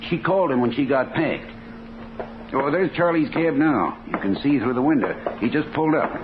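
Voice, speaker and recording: A defensive American rooming-house landlord acted by a man from a 1950s radio crime play. He stammers along in a colloquial way with lively rises and falls. The recording is thin and phone-like, with clear noise. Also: medium pitch, moderate pace, close to an everyday voice. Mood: hesitant, helpful. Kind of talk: 1950s radio drama character acting.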